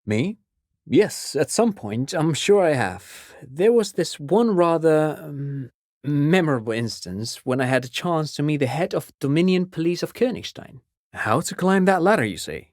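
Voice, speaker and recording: Erudite, chatty amateur scholar, close and dry. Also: male voice, on the high side, animated, a little slow, darkish tone, no echo. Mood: polite, reflective. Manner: erudite, digressive, hesitant, eager to explain. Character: chatty, pedantic male amateur scholar, absent-minded enthusiastic father. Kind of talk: video game character dialogue.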